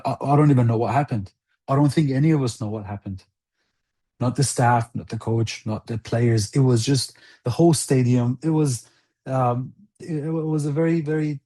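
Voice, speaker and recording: A man giving an earnest interview as a guest, speaking deliberately and haltingly, repeating phrases for emphasis. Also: mid-range voice, mellow. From outside Iran. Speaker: interview guest, former national football team interpreter.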